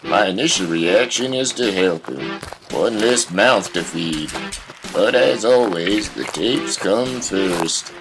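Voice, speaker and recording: Callous folksy country pa, acted by a man, deadpan, unhurried and low, music behind. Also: full character voice, low-quality recording. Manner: unhurried, deadpan, folksy old-time phrasing. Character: gruff, indifferent rural father, callous and folksy. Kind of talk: surreal audio drama dialogue.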